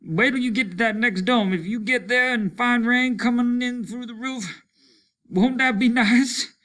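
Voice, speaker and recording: A man's voice: very high-pitched, measured pace, pushed and bright, pitched above the performer's own voice, full character voice. A man reading a story aloud, voicing a hardened, worn-out, fatalistic soldier who talks bluntly and bitterly. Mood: fatalistic, desperate. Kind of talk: dramatic storybook character reading.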